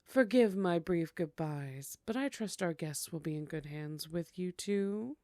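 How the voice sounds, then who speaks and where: A woman's voice: on the low side, lively intonation, measured pace, darkish tone, no room sound, clean studio sound. A woman playing a teasing, courtly vampire hostess in a scripted ASMR roleplay, speaking in a coy, formal way. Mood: gracious.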